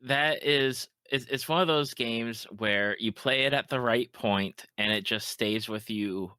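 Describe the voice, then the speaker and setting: A man's voice: mid-range voice, unhurried, sharp tone, smooth, no room sound. A man hosting a podcast, chatting casually and agreeably about video games with enthusiasm. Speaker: podcast host.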